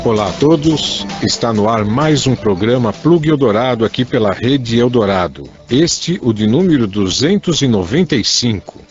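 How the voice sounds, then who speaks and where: A man's voice: medium pitch, expressive, normal speed, hardly any pauses, music in the background. A man with a Brazilian accent co-hosting a radio show in casual conversation, often repeating and circling back on his phrases. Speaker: radio co-host. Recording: dull lo-fi radio recording.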